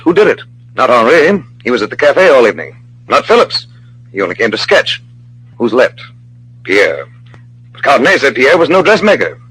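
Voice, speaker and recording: An actor playing a sharp, self-assured magician-detective in an old-time radio mystery, methodically laying out deductions and building to dramatic reveals. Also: male voice, mid-range voice, big pitch swings, moderate pace, pauses often, husky, rough recording. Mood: confident, explaining.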